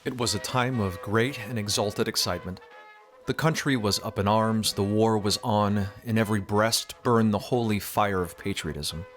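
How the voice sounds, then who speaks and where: A man giving a dramatic, scripted literary narration in a solemn, measured storytelling manner with rhetorical flourish. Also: on the low side, over music.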